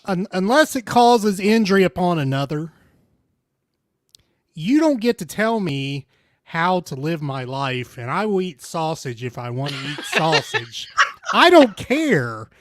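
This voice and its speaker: A man's voice: fairly high pitch, very animated, a little slow. A man co-hosting a podcast, giving an emphatic, opinionated take in casual chat. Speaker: podcast co-host.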